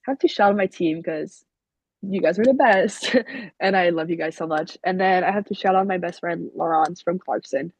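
A young Québécois woman with French as her first language talks through her answers, filling nearly every pause with "like." Her voice comes through husky and a little breathy. The lo-fi recording sounds dull and muffled, and the room is dry. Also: on the low side, a little mellow, no echo. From Quebec. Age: young adult. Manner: leans heavily on "like" as a filler. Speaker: college hockey player guest. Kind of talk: casual interview answers.

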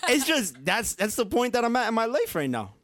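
A man's voice: very high-pitched, wildly swooping pitch, a little fast, fairly bright tone. A man co-hosting a podcast in casual chat, rambling and laughing at himself. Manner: laughing, rambling, self-mocking. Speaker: podcast co-host.

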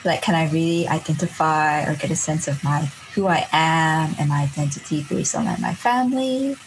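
A chatty young woman from Singapore with a lowish voice tells her story in a loose, reflective way, leaning on filler words and breaking into laughter. A faint hum of room ambience sits behind her. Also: moderate pace, low-quality recording. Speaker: podcast guest.

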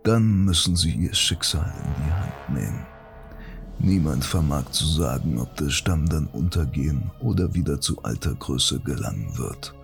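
Orc shaman played by a man, very low pitch, slow delivery, grave, portentous, fantasy audiobook prophecy. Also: expressive, creaky, soft background music, heavily acted. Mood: solemn. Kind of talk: solemn scripted fantasy audiobook recitation.

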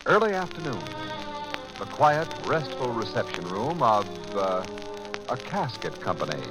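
A male radio announcer from the 1940s. He reads scripted narration at a middling pitch with very lively, sweeping intonation. Music plays clearly behind him. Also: unhurried, low-quality recording. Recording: old-time radio broadcast with music.

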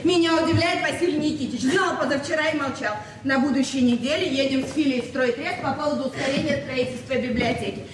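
An actress as a bubbly, high-pitched bossy woman. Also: fast, hardly any pauses, lightly acted. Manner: teasing, exclamatory. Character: bubbly, bossy, excitable woman friend bringing news. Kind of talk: Soviet radio drama acting. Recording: echoey, distant, noisy 1970s radio recording.

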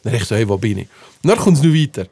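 A man with a Swiss accent telling a casual anecdote in conversation, slangy and animated, acting out what people said. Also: mid-range voice, fast talker, slightly rough, no echo, studio quality. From Switzerland. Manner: animated storytelling with slangy swearing and acted-out quotes. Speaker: podcast host telling a personal story.

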